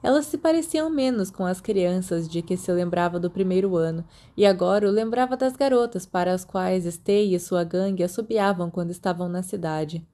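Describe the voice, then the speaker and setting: A woman's voice: lowish voice, normal speed, hardly any pauses, mellow. A woman with a Brazilian Portuguese accent reading a story aloud as a scripted audiobook narrator. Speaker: podfic narrator.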